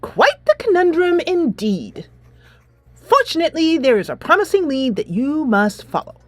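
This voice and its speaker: Woman doing a pompous schoolgirl detective game character, super formal and declamatory, voice pitched up and swoopy. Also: big pitch swings, normal speed, no echo, soft background music. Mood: grandly ominous. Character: theatrical, pompous mystery-loving schoolgirl sleuth, grandly formal.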